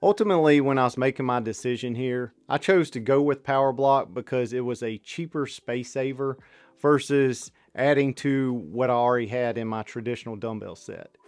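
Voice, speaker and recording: Male fitness host, 30s, mid-pitched, plain reviewer read, dry close mic, soft music underneath. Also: moderate pace, no room sound. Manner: steady, matter-of-fact delivery. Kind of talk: scripted product review voice-over.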